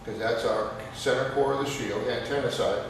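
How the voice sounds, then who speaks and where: A man with a mid-pitched voice walks through a hands-on technical demonstration. His instructions are plain-spoken and go step by step. The sound is echoey with long reverb. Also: moderate pace, creaky. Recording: very echoey, reverberant room with background noise.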